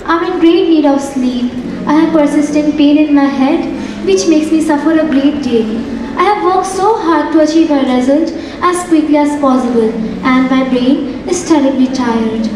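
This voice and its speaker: A young woman acting in a stage play as a weary young woman artist, gentle and trusting, with a soft, dreamy delivery. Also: sits high, moderate pace, background noise, close to an everyday voice. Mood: weary, grateful. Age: young adult. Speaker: student actor in a stage play. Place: large theatre stage. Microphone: far camera in the hall. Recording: echoey hall from far off, music behind.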